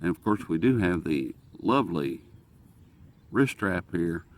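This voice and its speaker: Low-pitched American man, casually thinking aloud. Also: very animated. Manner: hesitant, halting, thinking aloud. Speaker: host of a radio review video. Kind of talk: casual, unscripted product review. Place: outdoors.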